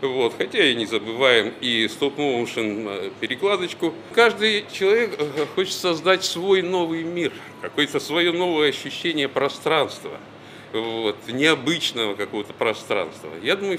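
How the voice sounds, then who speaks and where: A man in his fifties giving a halting, reflective interview indoors as a studio leader. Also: medium pitch, animated, pushed and bright. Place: indoors, on-camera news interview. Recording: faint background noise.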